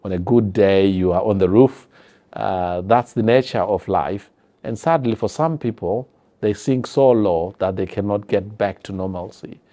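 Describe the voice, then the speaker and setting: A man's voice: lowish voice, measured pace, warm tone. A man with a Zimbabwean accent, a health professional giving an earnest, explanatory interview.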